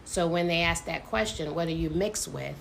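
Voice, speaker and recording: A middle-aged woman, a mother talking with her daughter, measured and hesitant, with many pauses and fillers. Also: lowish voice, creaky, a little hiss or hum. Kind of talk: casual conversation.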